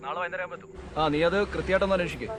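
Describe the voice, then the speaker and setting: A man playing a brisk police superior in a film, giving orders in a curt, commanding way. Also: sits high, lightly acted. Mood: businesslike. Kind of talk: film drama dialogue.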